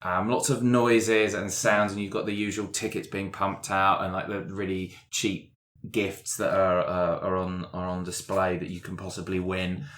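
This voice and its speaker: A man with a fairly low voice describes a scene as a player in a roleplaying show. He improvises casually and haltingly, adding detail as he goes. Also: level tone, a bit bright.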